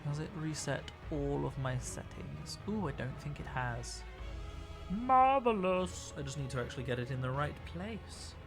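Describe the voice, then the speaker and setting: Young dude streaming, tired scratchy voice from work, rambly chat, soft music in the back. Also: male voice, on the high side, expressive, unhurried, creaky. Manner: rambling, chatty, restarts sentences. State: voice worn from talking all week. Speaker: streamer painting miniatures. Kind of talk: casual live-stream chat.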